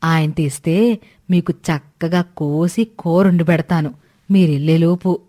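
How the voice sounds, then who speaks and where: A woman's voice: lowish voice, measured pace, dark tone. A woman voicing a stingy old village grandmother in a moral storybook tale, evasive and grumbling in a folksy, exclaiming way.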